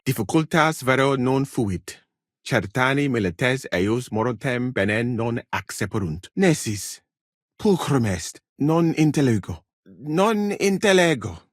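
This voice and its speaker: A man playing a courteous, learned foreign physician in a medieval video game, speaking in measured, formal phrases with calm thoughtfulness. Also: mid-range voice, no room sound, clean studio sound. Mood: calm, thoughtful, then puzzled, questioning.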